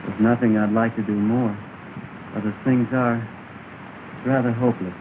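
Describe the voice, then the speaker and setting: An actor playing an earnest young gentleman who speaks politely and formally in a downcast tone, on an old film soundtrack. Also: mid-range voice, flat delivery, a little slow, lightly acted. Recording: tinny, hissy 1930 film soundtrack.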